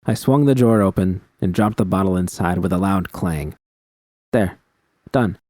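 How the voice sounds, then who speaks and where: A man's voice: low voice, normal speed, dark tone, dry recording, studio quality. A man reading scripted first-person fiction as an audiobook narrator.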